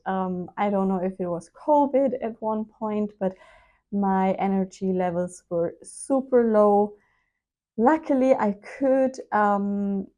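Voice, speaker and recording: Slow, mellow woman with a stuffy nose. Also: mid-range voice. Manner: chatty, unhurried, with frequent ums. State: slightly nasal from a cold. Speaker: sewing vlogger. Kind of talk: casual chat to camera. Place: home sewing room, indoors. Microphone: clip-on lapel mic.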